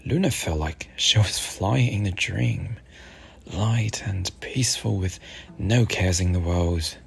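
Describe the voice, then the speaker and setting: A man softly narrating a soothing ASMR bedtime story in a gentle, unhurried, hushed manner over music. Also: low voice, creaky. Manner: gentle, hushed, unhurried, at times near a whisper. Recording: music behind the voice.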